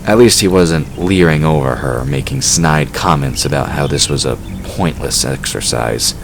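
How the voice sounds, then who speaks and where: A man's voice: a bass voice, moderate pace, creaky, a little hiss or hum. A man reading scripted third-person fantasy narration as the narrator of an audio drama.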